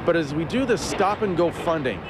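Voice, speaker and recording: Male interviewee, middle-aged spokesman, higher than average pitch, news soundbites with noticeable traffic behind. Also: expressive, a little fast. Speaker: air traffic controller, union spokesman. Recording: clear vehicle and street noise.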